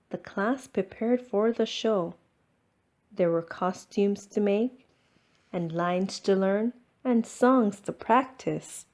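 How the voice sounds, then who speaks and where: A woman reading a children's storybook aloud as scripted narration, expressive and drawing out words. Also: mid-range voice. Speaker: storybook reader.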